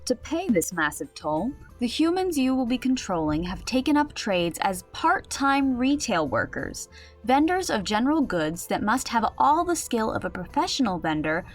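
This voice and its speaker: A woman playing a composed, patient but firm storyteller in a fantasy audio drama, explaining with gentle authority. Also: on the high side, very animated, normal speed, faint music behind.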